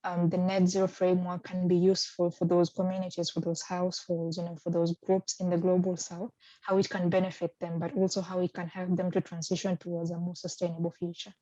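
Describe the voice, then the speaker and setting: Low, flat-voiced woman from Kenya. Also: brisk, no echo, highs cut off. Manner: hesitant, many ums and restarts. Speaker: research fellow, interviewee. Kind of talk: thoughtful interview answers.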